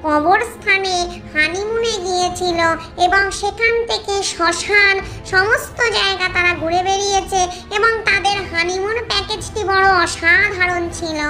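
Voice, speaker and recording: A woman's voice: very high voice, unhurried, sharp tone, soft background music, exaggerated voice. A woman voicing a chatty, doting ghost mother-in-law who tells a cartoon story in a folksy, exclamatory way. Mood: chatty, fond. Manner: folksy, exclamatory, addresses viewers directly. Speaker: storyteller and channel host.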